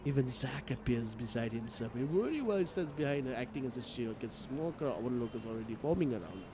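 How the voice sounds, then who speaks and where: A man streaming a game, reading its story aloud in an expressive, theatrical way. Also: medium pitch, fast, background noise. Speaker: streamer playing a visual novel. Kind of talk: dramatic read-aloud narration with live reactions.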